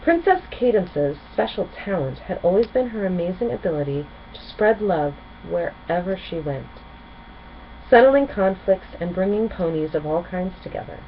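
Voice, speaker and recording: A woman narrating a children's storybook aloud as a storyteller, with expressive delivery. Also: on the low side, moderate pace. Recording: muffled, with clear background noise.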